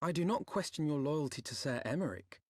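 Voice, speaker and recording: A wary comrade with lively intonation. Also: male voice, a little high, fairly quick, dry recording, studio quality. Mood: suspicious. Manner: formal, pointed, questioning. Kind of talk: dramatic video game cutscene acting.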